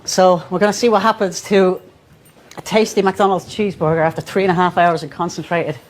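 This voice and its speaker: A woman's voice: lowish voice, fairly even intonation, brisk, a little hiss or hum. A woman casually explaining an experiment as she shows it. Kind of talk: casual demonstration narration.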